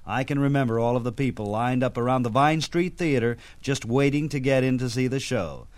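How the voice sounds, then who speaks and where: A man's voice: mid-range voice, no room sound. Older man, radio host, scripted narration, reminiscing, dry close sound. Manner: reminiscing, steady.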